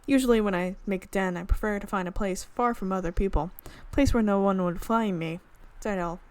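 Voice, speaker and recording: A woman's voice: medium pitch, brisk, darkish tone. A young woman reading a visual novel aloud and tossing in casual, chatty comments and giggles. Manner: casual, chatty asides and giggles between narration. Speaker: let's play streamer.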